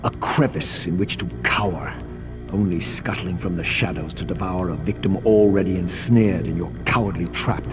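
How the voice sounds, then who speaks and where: An actor playing a vengeful undead wraith who speaks gravely and with scorn, in long reverb over game music. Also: male voice, sits low, normal speed, close to an everyday voice. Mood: scornful.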